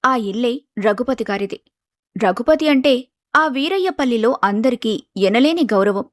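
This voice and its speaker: A woman's voice: on the high side, animated, measured pace, no echo, clean studio sound. A woman reading scripted storybook narration as the narrator of a family tale.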